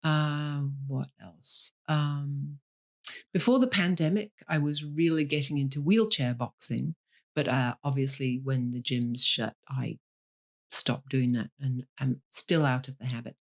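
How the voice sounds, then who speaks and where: A woman's voice: extremely low pitch, unhurried. A woman, a novelist talking about her life in an interview. She speaks candidly and slips in dry jokes. Her ums and restarts sound natural. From Leeds, Yorkshire, England. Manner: dry humour, candid, fillers like um. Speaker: guest, novelist. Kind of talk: interview over a call.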